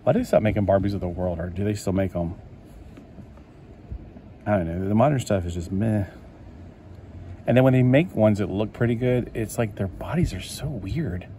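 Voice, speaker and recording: A man's voice: on the low side, normal speed, pauses often, dark tone, slight background noise. A man casually chatting to himself as he flips through a collector magazine, rambling and easily delighted. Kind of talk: casual chat over a magazine flip-through. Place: indoors at a table.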